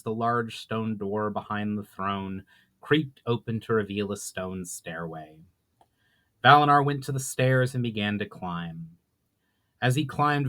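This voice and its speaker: Man narrating a dramatic fantasy story. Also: medium pitch. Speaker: author reading own book. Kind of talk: dramatic scripted audiobook narration.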